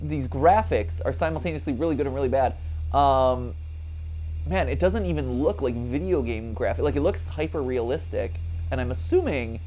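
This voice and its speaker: A man in his thirties with a mid-pitched voice hosts a game stream. He reacts casually and out loud, dropping jokey asides and exclamations as he goes. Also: expressive, normal speed, a little hiss or hum, poor audio. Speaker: livestream gaming host. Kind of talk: casual joking reaction commentary. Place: small furnished room, on a couch.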